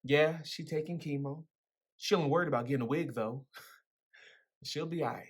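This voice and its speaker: A woman as a swaggering, husky teenage guy. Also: low voice, moderate pace. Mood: subdued. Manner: slangy, teasing, swaggering. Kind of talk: dramatic reading of a novel.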